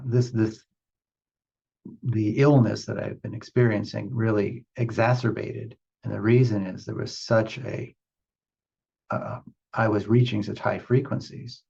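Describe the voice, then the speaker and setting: A man giving reflective spiritual teaching as a meditation teacher, speaking in halting, deliberate phrases with pauses for emphasis. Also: on the low side, gravelly, a little mellow, breathy edge, lo-fi sound.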